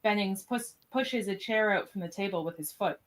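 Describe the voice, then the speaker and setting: A woman narrates an amateur radio drama from a script. Her voice sits at an average pitch for a woman as she reads the scene directions. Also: moderate pace, noisy background. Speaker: narrator of a podcast radio play.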